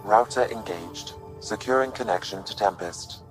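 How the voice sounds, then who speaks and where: A low male voice over music: an actor as a calm artificial intelligence in a video game. It speaks in a measured, formal and helpful way. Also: lightly acted, rough recording. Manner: measured, formal, even-tempered. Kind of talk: video game cutscene acting.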